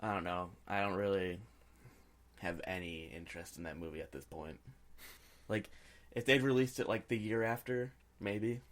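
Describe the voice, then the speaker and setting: A man's voice: deep voice, normal speed. A man co-hosting a podcast, chatting casually about pop culture and giving his opinions freely. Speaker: podcast co-host.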